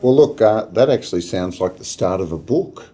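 A man's voice: lowish voice, lively intonation, normal speed, mellow. A man hosting a business podcast, chatting casually and joking with his guests. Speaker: podcast host. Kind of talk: casual interview chat.

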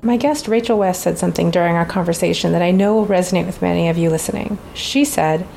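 A woman's voice: lowish voice, fast talker, vocal fry, over music, poor audio. Bubbly woman podcast host. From Alaska. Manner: upbeat and bubbly, laughs often. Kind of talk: scripted intro, then casual interview chat.

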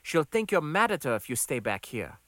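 A man's voice: a little high, sing-song, normal speed, bright, forceful tone, dry recording, voice pushed up. A man voicing a confident, needling woman in an audiobook, with a firm, teasing and insistent delivery. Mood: firm, caring. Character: confident, needling adult woman, longtime diner friend.

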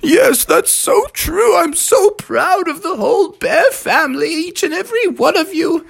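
A woman's voice: very high voice, expressive, unhurried, creaky, voice pushed up, full character voice. A woman voicing a proud, affectionate mother bear in a storybook style, gushing.

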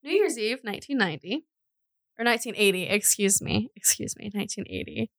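A woman with a bright, forward voice recorded close and dry. She tells true crime as a casual podcast host, chatting in a jokey, giggly manner. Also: mid-range voice, lively intonation, fairly quick, no echo.

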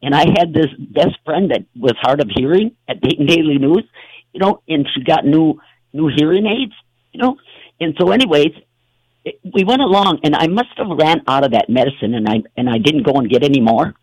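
A man's voice: on the high side, lively intonation, brisk, darkish tone, no echo, low-quality recording. A man hosting a talk show, telling stories in a rambling, casual way with many fillers and restarts. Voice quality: gravelly, husky.

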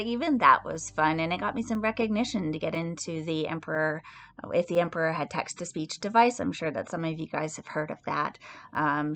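A woman's voice: deep voice, fairly bright tone. A woman voice actor chatting casually in a personal testimonial.

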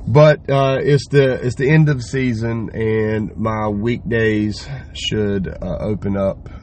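A hoarse man hosting a podcast, talking through a loose, rambling solo update and swearing casually. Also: lowish voice, steady tone, takes it slowly, a little mellow, a little hiss or hum. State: hoarse, lost voice from yelling. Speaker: podcast host.

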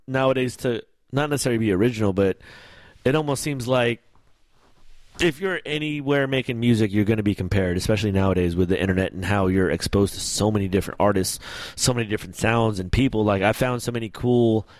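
A man hosting a casual chat, animated and slangy, asking long rambling questions. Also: fairly low pitch, fast, no echo. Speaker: podcast host.

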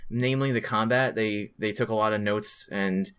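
A man's voice: on the low side, fairly even intonation, moderate pace, fairly bright tone, smooth. Casual man on a podcast. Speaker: podcast co-host. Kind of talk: casual gaming podcast chat.

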